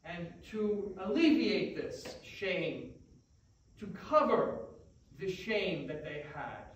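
An older American man, an Orthodox priest, giving a measured, thoughtful sermon with frequent pauses. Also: sits high, animated, slight background noise. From United States. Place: large church sanctuary, indoors. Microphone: far camera. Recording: echoey church from far off.

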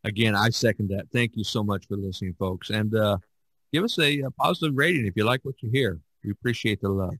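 Bright-voiced man hosting a podcast. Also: lowish voice, no echo. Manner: halting, with repeated false starts. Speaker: podcast host. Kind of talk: casual chat about art.

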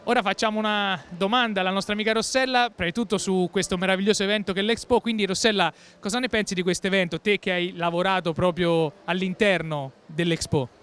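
A man's voice: sits high, steady tone, quick pace, hardly any pauses, fairly bright tone, smooth. A man in his thirties presenting at an exhibition stand with a handheld microphone, effusive and full of lofty praise. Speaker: costumed presenter. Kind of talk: enthusiastic event reporting.

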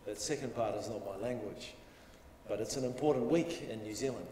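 A man in his forties at a lectern, giving a measured, formal welcome speech with phrases that trail off. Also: on the low side, dark tone. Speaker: university welcome speaker. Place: conference room, at a lectern. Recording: roomy hall reverb, distant mic, faint hiss.

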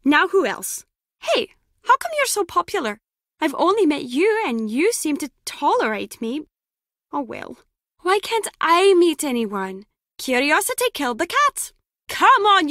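An actress playing a nosy, awkward, excitable young woman in a video game, chatty and blunt. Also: sits high, no echo, clean studio sound, full character voice. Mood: pestering.

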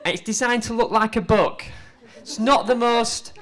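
A middle-aged woman giving an informal conference presentation into a handheld microphone, conversational, halting and self-deprecating. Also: on the high side. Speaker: conference speaker, museum exhibit developer. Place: indoor presentation room before a seated audience.

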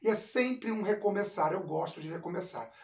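A man's voice: high-pitched, normal speed, vocal fry. A middle-aged man with a Brazilian accent talks casually to the camera in a candid, reflective, explanatory way. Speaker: vlog host, chef. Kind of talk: casual personal talk to camera. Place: small indoor kitchen. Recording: echoey room, distant microphone.

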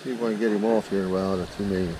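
A middle-aged man with a fairly low voice talks through his fishing trip in a folksy, excited way. He is outdoors by a creek, and an action camera on his head picks him up. Steady water noise runs loudly under everything. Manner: excited exclamations, folksy talk. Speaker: fishing vlogger. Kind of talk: casual running commentary while fishing. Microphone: head-mounted action camera. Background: rushing water noise.

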